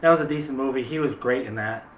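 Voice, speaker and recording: A man's voice: mid-range voice, quick pace, distant mic. A man hosting a casual movie chat, talking directly to viewers in a chatty way. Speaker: video host. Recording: roomy, noisy, off-mic home recording.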